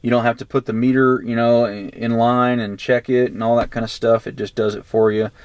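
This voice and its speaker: A man's voice: medium pitch, level tone, fairly quick, darkish tone, no room sound, muffled recording. An American man giving a casual, unscripted gear review in a rambling, matter-of-fact way, recorded on a handheld camera. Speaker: presenter of gear review videos. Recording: clear background noise, handheld camera audio.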